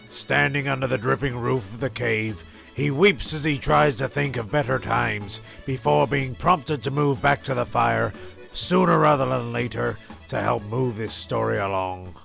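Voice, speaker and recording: A man reading scripted comic story narration in a gravelly voice. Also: mid-range voice, a little slow, fairly bright tone, faint music behind.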